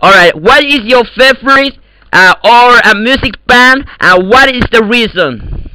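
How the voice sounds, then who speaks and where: High bright-voiced guy with an eastern european accent, slow and boastful, trolling in voice chat. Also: animated, smooth, poor audio. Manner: broken English, boastful, trolling. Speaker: voice chat player. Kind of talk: prank call banter in game voice chat.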